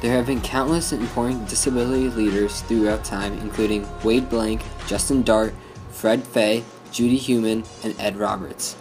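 A man's voice: lowish voice, fairly even intonation, normal speed, poor audio. A young man with an American accent reads a scripted documentary narration as a student narrator. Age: young adult. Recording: voice-over with music bed. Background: music.

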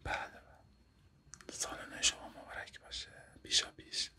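A young man whispering softly and politely as a barber in a close-up roleplay, with a Persian accent. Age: young adult. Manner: soft polite whisper, addressing the listener as a client. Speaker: ASMR creator playing a barber. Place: dim small room indoors.

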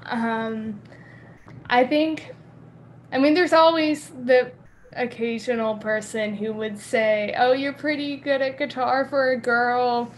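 A woman in her twenties on a video call from a bedroom, speaking earnestly and measured as a panelist. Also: on the high side, steady tone, slight background noise. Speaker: panelist, young musician. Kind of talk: casual panel talk. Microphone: webcam on a video call.

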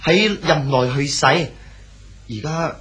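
A man's voice: on the high side, slow, fairly bright tone. An actor playing an eager, bashful young man, a scholar's dutiful son, in a radio drama. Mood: eager, flustered. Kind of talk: Cantonese radio drama acting.

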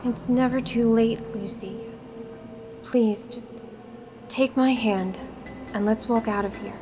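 A woman's voice: fairly high pitch, steady tone, takes it slowly. An actress playing a determined, earnest young female spirit in a radio play, firm and tough. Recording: voice over clear music.

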